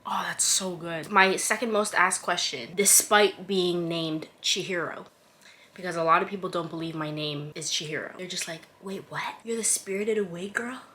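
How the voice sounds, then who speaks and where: A woman's voice: on the low side, moderate pace, sharp tone. A woman in her twenties from Toronto, chatting through a casual vlog Q and A, sarcastic and swearing lightly. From Toronto, Canada. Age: 20s. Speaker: vlogger.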